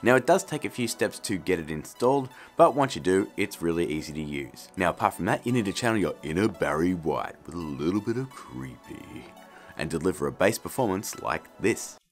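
A man's voice: low-pitched, lively intonation, brisk, faint music behind. A man in his thirties presenting a casual tutorial in an informal, jokey, chatty manner. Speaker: host of an effects tutorial channel.

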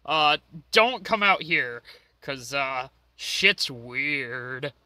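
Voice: mid-range voice, animated, very slow, pushed and bright, no echo, studio quality, full character voice. A performer voicing a manic, foul-mouthed, bossy cartoon ringmaster in an amateur fan dub, theatrical with hesitant asides. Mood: showy explaining.

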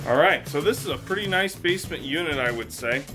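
An American man in his thirties giving an unscripted property walkthrough to camera as a real estate agent, halting and repeating phrases as he explains. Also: a little high, lively intonation. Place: empty rooms of a large house, indoors. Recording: echoey bare rooms, music behind.

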